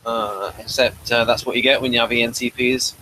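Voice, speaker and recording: Bright, forceful man hosting a chat. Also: mid-range voice, fairly even intonation, a little fast, a little hiss or hum, poor audio. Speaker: podcast host. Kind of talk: casual chat review.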